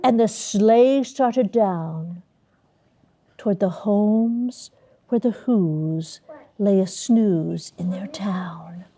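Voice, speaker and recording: A woman reading a children's storybook aloud to schoolchildren in a theatrical, expressive manner, stretching key words. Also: medium pitch, extremely slow. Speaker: storybook reader, college dean. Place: elementary school classroom.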